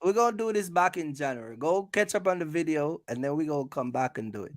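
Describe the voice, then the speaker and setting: A man's voice: on the high side, expressive, fast talker, no room sound, studio quality. A man hosting a casual live quiz, teasing the players and repeating himself for emphasis. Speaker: host of a live quiz for his channel. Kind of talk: casual chat, game-show questioning.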